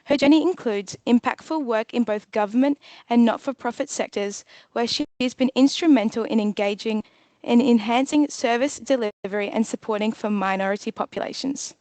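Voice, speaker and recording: Woman host, higher voice, reading a measured scripted introduction, dry sound. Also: a little mellow, dry recording. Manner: measured, reading a prepared text. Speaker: webinar host.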